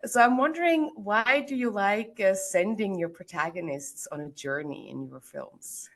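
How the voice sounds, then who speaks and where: A woman hosting a film club Q&A, asking measured questions with some fillers. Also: medium pitch. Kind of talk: moderating a Q&A.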